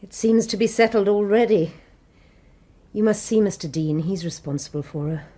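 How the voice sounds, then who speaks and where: An actress playing a proud, weary nun in charge of a mission, with a clipped, restrained manner, in a radio drama. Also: female voice, mid-range voice, moderate pace, mellow, natural voice.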